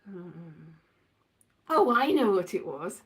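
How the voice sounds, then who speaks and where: A woman's voice: on the low side, big pitch swings, slow pace, over a noisy crowd. A woman giving a rambling, casual comic monologue with a theatrical, wry, exasperated manner, slipping into sing-song and mimicked exchanges. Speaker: solo performer of a radio play monologue.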